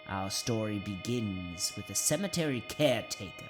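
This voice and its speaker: A man playing a theatrical, sinister horror host, deliberately narrating a scripted anthology show. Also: lowish voice, expressive, lightly acted. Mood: ominous. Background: plucked guitar music.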